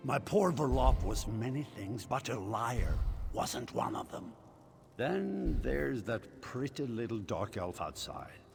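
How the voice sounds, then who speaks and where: Smug theatrical illusionist villain, swooping delivery. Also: male voice, mid-range voice, sing-song, creaky, music in the background, full character voice. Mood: gloating, mocking. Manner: mocking, drawn-out, gloating delivery.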